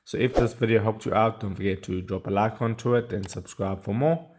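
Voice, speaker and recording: A man's voice: on the low side, steady tone, a little fast, a little mellow, slight background noise. A man presenting a casual step-by-step how-to tutorial in a plain, instructional way.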